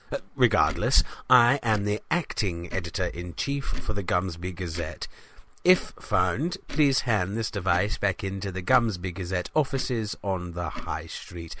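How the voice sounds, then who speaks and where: Low-voiced pompous, bumbling newspaperman, played by a man. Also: slight background noise, heavily acted. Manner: rambling, self-important, deadpan. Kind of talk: comedic audio diary monologue in 1970s setting.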